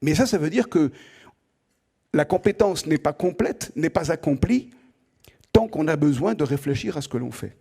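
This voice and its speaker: Husky-voiced French professor lecturing students. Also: male voice, on the high side, animated, normal speed, long pauses, mellow. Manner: conversational, asks the audience direct questions, repeats words as he thinks. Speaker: professor giving an opening lecture. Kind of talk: lively spoken lecture to students.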